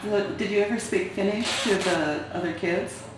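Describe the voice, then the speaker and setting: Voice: medium pitch, moderate pace. An oral history interviewer who asks short questions and gives brief backchannels. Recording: very echoey, distant, loud noise.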